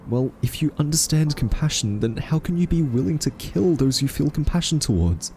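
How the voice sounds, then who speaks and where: A man playing an earnest, quirky scientist in a roleplay audio drama, soft-spoken, hesitant and argumentative as a thoughtful captive. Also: fairly high pitch, animated, moderate pace, slight background noise. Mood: skeptical, reproachful.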